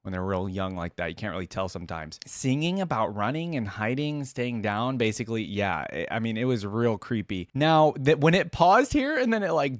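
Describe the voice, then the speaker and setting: A man's voice: mid-range voice, no echo. A man hosting a reaction video, thinking aloud in an informal, exclamatory way as he comments. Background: game trailer and theme music.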